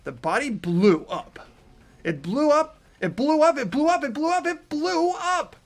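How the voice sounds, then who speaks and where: A man reading a visual novel aloud in a theatrical, expressive way, with casual asides as a player. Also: very high-pitched, measured pace. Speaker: player voicing and narrating in a fan playthrough.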